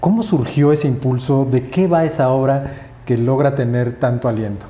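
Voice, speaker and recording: A man's voice: medium pitch, moderate pace, warm tone, reverberant. A man hosting a podcast interview, attentive and affirming. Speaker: podcast host. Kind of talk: interview conversation.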